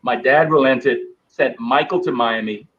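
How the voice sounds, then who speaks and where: A middle-aged man, a guest telling stories in an animated way. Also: medium pitch, unhurried, lo-fi sound. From Bahamas. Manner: animated storyteller acting out quoted voices. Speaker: guest, former basketball player. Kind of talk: storytelling interview.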